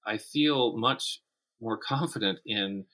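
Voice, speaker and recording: Low, bright-voiced man in conversation. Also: takes it slowly. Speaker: guest, writer. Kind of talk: conversational interview.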